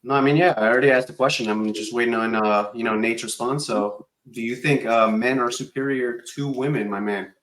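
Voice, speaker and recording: A man's voice: medium pitch, steady tone, a little fast, hardly any pauses, a bit bright. A man co-hosting a casual podcast, blunt and a little crude as he leads the segment. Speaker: podcast co-host. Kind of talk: casual chat.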